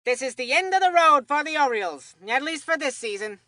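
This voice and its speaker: A woman voicing an excitable young boy baseball commentator in a kids' video game, with a theatrical, earnest announcer delivery. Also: high-pitched, a little fast, no echo, clean studio sound. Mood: mournful, resigned.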